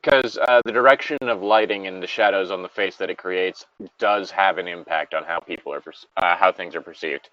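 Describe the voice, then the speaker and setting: A man's voice: deep voice, fairly quick, few pauses, bright, forceful tone, no room sound, dull audio. A man giving casual, rambling and blunt commentary on a story, with frequent swearing. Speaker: podcast host reading and commenting on a story.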